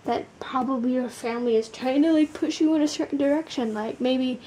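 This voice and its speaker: A woman doing a casual, blunt tarot card reading, tired, with a gravelly, sleepy voice, swearing casually and using lots of fillers. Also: high-pitched, a little fast, mellow, slight background noise, rough recording.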